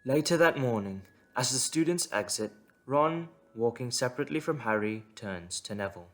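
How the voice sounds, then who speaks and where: A man's voice: mid-range voice, expressive, normal speed. A man reading scripted story narration as the narrator of an audio drama.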